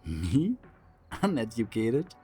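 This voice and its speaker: An actor playing a casual, teasing older brother in an audio drama, with a dry manner. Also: male voice, medium pitch, huge pitch swings, slow, warm tone, husky, faint music behind, exaggerated voice. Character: sluggish, teasing German man, an older brother.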